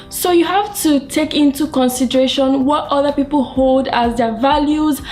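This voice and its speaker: A woman's voice: high-pitched, darkish tone. A young woman from Nigeria with a Nigerian accent, English not her first language, giving earnest tips to camera in a small room. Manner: earnest, gives advice in numbered tips. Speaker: vlogger. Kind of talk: casual talk to camera.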